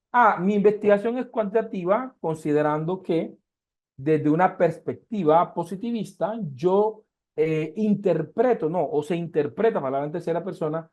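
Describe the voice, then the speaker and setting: A man's voice: high voice, darkish tone, muffled recording. A man from Colombia, teaching a research methods class online and explaining through everyday comparisons and rhetorical questions. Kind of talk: online lecture.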